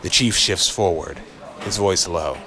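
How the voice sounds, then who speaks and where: A man narrating a scripted noir horror story in a deliberate, dramatic way. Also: low-pitched, noisy background. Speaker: narrator and voice actor. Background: faint music.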